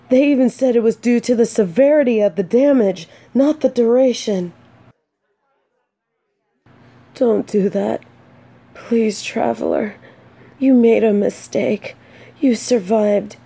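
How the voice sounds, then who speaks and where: A woman playing a gentle, earnest female knight in a fantasy romance audio drama, speaking softly with hesitant, dramatic pauses. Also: fairly high pitch. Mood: pleading, firm. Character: gentle, earnest female knight consoling a despairing blind companion.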